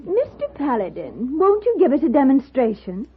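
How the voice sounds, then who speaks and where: Female, spiteful young socialite for vintage radio western. High animated voice, theatrical goading, thin archival sound. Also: moderate pace, slightly rough, full character voice. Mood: gleeful taunting. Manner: taunting, theatrical. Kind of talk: 1950s radio western acting. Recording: tinny old radio, party crowd behind.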